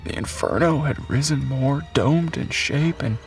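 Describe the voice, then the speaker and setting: A man voicing a shaken, numb student survivor who gives a halting testimony in a raspy voice, like an audio drama monologue. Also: medium pitch, soft background music. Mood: awed, shaken. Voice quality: raspy, breathy. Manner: halting, numb, throat-clearing.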